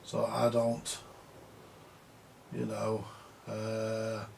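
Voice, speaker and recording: Gravelly-voiced older man rambling. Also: lowish voice, completely flat delivery, drawn-out words, darkish tone, a little hiss or hum. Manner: rambling, repetitive, grumbling, swears casually. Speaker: vintage audio repairer. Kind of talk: rambling casual monologue to camera.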